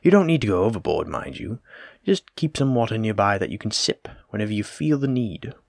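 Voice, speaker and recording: A man acts out a learned plague doctor from olden times in an immersive audio drama. His voice sits fairly low and is recorded dry, with little room sound. He addresses the listener as his patient in formal, wordy, reassuring phrases, always polite. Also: big pitch swings, brisk, vocal fry, dry recording, full character voice. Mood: gently attentive. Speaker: physician examining a patient.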